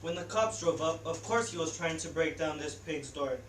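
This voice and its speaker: A woman's voice: sits low, moderate pace. Teenage girl narrator, distant and echoey. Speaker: student narrator. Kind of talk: theatrical storytelling on stage. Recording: echoey room, distant mic, faint noise.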